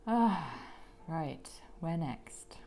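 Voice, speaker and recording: A woman with a croaky voice giving a gentle pick-a-card tarot reading, slipping into soft whispers now and then. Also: low-pitched, very animated, drawn-out words, slight background noise. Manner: gentle, soothing, drops into whispers.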